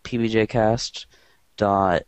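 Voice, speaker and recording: A man hosting a podcast in casual chat, with a quiet, monotone voice and a rambling, dry way of talking. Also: lowish voice, slow pace, clean tone, no echo. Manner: rambling and dry, filler-heavy. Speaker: podcast host.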